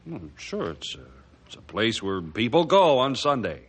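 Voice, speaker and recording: An actor playing a gruff, stubborn, suspicious middle-aged small-town mayor in a blustery radio drama manner. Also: male voice, medium pitch, big pitch swings, moderate pace. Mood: bewildered, grudging. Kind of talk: radio adventure drama acting.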